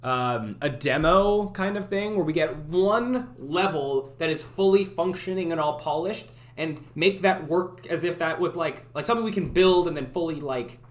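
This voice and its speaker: Young man, somewhat high voice, rambling live-stream chat, self-correcting, thinking aloud. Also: moderate pace, few pauses. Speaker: game development streamer. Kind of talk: casual live-stream chat while working.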